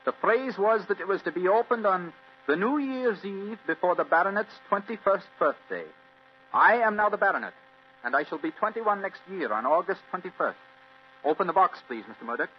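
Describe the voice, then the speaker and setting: An actor playing an earnest, anxious young heir with a formal, impassioned delivery in a vintage radio drama. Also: male voice, high-pitched, animated, fairly quick. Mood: resolute, formal. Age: young adult. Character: earnest, anxious twenty-year-old heir to a castle. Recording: muffled old radio recording with hum.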